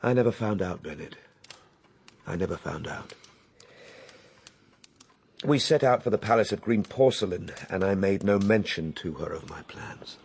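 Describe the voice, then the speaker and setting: A reflective Victorian gentleman narrating his adventure in a radio drama. His voice is very low, with measured, formal and grave phrasing, over faint background noise. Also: vocal fry. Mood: reflective, regretful. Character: Time Traveller recounting his ordeal, reflective Victorian gentleman.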